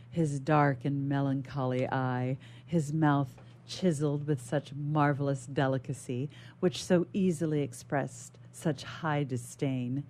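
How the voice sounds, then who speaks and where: Low, mellow woman reading a classic novel. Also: slight background noise. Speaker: guest reader on a radio show.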